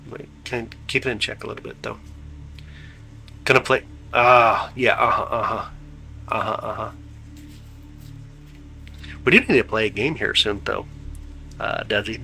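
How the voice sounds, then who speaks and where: Laid-back congested man with gravelly voice. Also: mid-range voice, pushed and bright. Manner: laid-back, slangy, chatty. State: stuffed up, congested. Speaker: livestream host of telescope views. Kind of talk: casual chat with live chat viewers.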